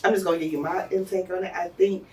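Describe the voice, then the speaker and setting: An American woman with a low voice tells stories in a relaxed interview. She talks fast, full of slang and laughter. The mic sits some way from her. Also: distant mic, low-quality recording. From United States. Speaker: interview guest. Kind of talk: casual conversational interview.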